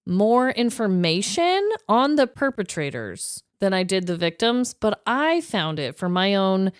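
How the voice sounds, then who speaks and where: A woman's voice: medium pitch, big pitch swings, a little slow, clear, resonant tone, no echo, studio quality. A woman podcast host telling scripted true-crime stories with dramatic, tongue-in-cheek delivery, like a comedic narrator.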